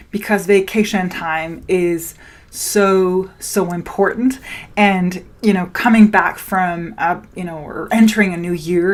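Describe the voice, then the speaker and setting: Slow, lower-pitched woman podcaster from Denmark. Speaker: podcast host and spiritual business coach. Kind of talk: solo podcast talk addressed to listeners.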